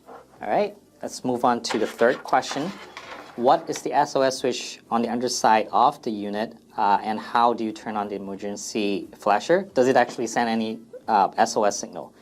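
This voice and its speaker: A man's voice: medium pitch, normal speed. A man presenting an informal product FAQ demonstration in a plain, step-by-step instructional way.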